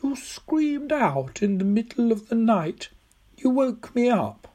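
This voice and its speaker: A narrator voicing a little old Victorian woman in an audiobook, insistent, tender and delighted, with drawn-out phrases. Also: mid-range voice, very animated, dark tone, pitched above the performer's own voice. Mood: amazed, insistent. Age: older. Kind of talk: audiobook character voice.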